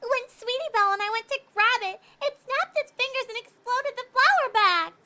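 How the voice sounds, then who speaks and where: A woman's voice: extremely high pitch, animated, normal speed, bright, forceful tone, slight background noise, pitched above the performer's own voice, full character voice. A woman voicing a feisty young filly pony in a story reading. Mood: exasperated.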